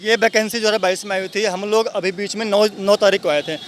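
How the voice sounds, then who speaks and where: A man's voice: sits high, steady tone, fast talker, slight background noise. A young man, agitated and defiant, speaking as a protester in an outdoor street interview. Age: young adult. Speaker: job candidate at a protest. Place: outdoors in the sun.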